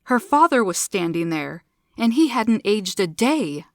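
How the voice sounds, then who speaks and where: A woman's voice: medium pitch, big pitch swings, measured pace, no echo. A woman narrating scripted sci-fi fiction in a dramatic storytelling style, close to the microphone.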